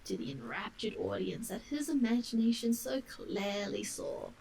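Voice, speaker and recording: A woman reading a bedtime story in ASMR style, soft and breathy with whispery dips. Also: a little high, wildly swooping pitch, normal speed, distant mic, a little hiss or hum, rough recording. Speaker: storyteller, live-stream reader.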